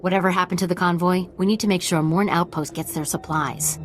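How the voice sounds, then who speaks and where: A woman playing a principled army officer in a game drama. Her voice is on the low side for a female, direct and businesslike. Quiet music plays underneath. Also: steady tone, a little fast, lightly acted. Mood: brisk, concerned. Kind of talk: dramatic video game acting.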